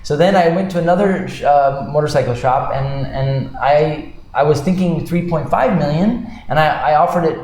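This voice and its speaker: A man's voice: a little high, normal speed, hardly any pauses. Male host, casual chat, attentive, room echo. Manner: attentive listener, many soft murmurs of agreement. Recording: echoey room, faint background noise.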